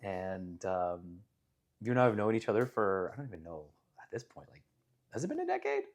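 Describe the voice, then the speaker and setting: Male interviewer, slightly low pitch, casual, friendly and meandering. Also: wildly swooping pitch, a little fast, creaky. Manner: friendly, rambling questions with fillers. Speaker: podcast host.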